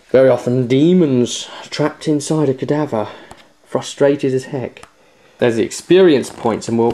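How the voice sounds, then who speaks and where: Guy doing a chatty tabletop game review, mid pitched voice, really into it and stressing stuff. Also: mellow. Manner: enthusiastic, chatty, emphatic. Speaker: host of a tabletop gaming video series. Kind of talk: casual unboxing review, chatting to viewers.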